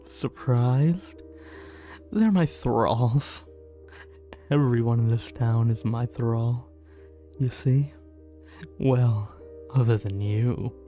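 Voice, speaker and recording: A smug male vampire, acted by a man in an ASMR roleplay. He speaks slowly at a medium pitch, condescending and teasing, with menace underneath. Faint music plays behind him. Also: warm tone. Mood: gloating, menacing. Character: smug, teasing male vampire stalker toying with his hunter.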